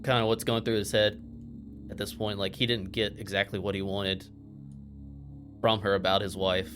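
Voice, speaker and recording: A man's voice: on the low side, flat delivery, normal speed, a bit bright, soft background music. A man playing a tabletop roleplaying game, casually speaking for his character and describing him.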